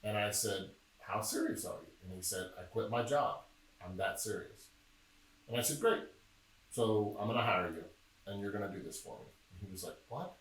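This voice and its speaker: A middle-aged man from Memphis, Tennessee, talking casually as a podcast guest and telling stories with a joking, relaxed manner. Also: fairly low pitch, moderate pace. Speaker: podcast guest, film producer. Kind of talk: casual interview chat. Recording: echoey room, distant mic.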